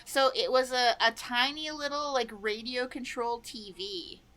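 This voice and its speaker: Chatty Canadian woman, high voice. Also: unhurried, fairly bright tone. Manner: chatty, laughs often, many "like" fillers. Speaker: podcast host. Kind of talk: casual chat recapping a sitcom.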